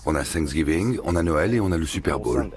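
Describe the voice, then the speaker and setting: A man's voice: a bass voice, expressive, brisk, loud music behind the voice. A man giving emphatic interview testimony.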